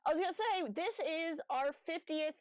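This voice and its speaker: A woman's voice: extremely high pitch, animated, normal speed, no echo, clean studio sound. A woman co-hosting a casual livestream fan chat, talking in a chatty, informal and slangy way. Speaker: podcast co-host.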